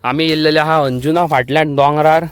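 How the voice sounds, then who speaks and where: A man's voice: on the high side, steady tone, brisk, smooth, no room sound. Goan man chatting in a casual vlog. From Goa, India. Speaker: vlogger. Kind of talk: casual vlog chat to camera.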